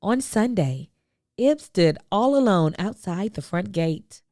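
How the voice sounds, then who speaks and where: Woman, expressive storybook read-aloud, unhurried deliberate delivery, dry close sound, faint music behind. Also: mid-range voice, no room sound. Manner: slow, deliberate phrasing with dramatic pauses. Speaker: children's story reader.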